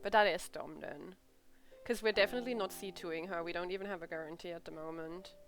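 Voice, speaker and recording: A woman's voice: on the low side, quick pace, faint music behind. A woman streaming a game with casual, informal commentary, joking and blunt.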